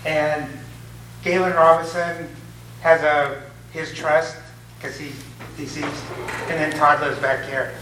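A man explains his request at a town board hearing in a halting, informal way, unsure of the procedure. His voice sits a little high. He is picked up from a distance in an echoing room. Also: level tone, background noise. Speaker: applicant at public hearing. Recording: echoey room from far off.

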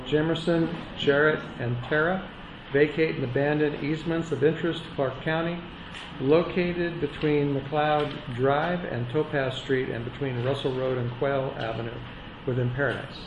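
A man's voice: a little high, moderate pace. Man, board chair, formal agenda reading, muffled public-chamber recording, background noise. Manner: reads agenda items in a steady, formal cadence. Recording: echoey meeting room with dull sound.

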